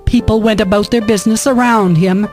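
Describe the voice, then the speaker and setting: A woman's voice: mid-range voice, steady tone, brisk, darkish tone. Woman narrating a historical drama over music. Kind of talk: scripted storytelling narration in a historical radio drama.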